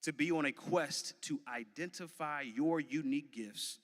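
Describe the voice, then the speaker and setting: A man in his early thirties with an American accent gives a motivational commencement speech, emphatic and deliberate, with repetition and dramatic pauses like a preacher. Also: fairly high pitch. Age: early 30s. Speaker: graduation commencement speaker. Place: large venue, graduation ceremony. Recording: long hall reverb from a PA.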